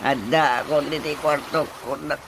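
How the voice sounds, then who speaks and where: A man's voice: fairly high pitch, steady tone, moderate pace, vocal fry, background noise. A man with an Ilocano accent playing a frightened, flustered old father who stammers and gasps in a radio drama comedy. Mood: panicky, dazed. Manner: stammering, gasping exclamations. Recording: music behind the voice.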